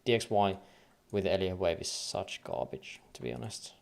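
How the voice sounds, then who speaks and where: A man's voice: deep voice, moderate pace, gravelly, a little mellow, a little hiss or hum. A man from Finland with a light Finnish accent, thinking aloud and counting waves under his breath as he goes through charts on a casual trading livestream.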